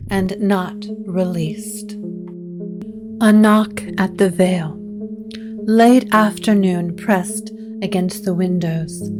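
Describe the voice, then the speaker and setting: Female gothic narrator: unhurried, measured scripted read, medium pitch, clear music bed.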